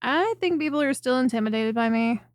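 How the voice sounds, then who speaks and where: A woman playing a friendly, clingy female lamia chiropractor in an ASMR roleplay, speaking in a soft, coaxing and teasing way directly to the listener. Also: high-pitched, animated, a little fast, no room sound, clean studio sound. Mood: wry, candid. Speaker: voice performer.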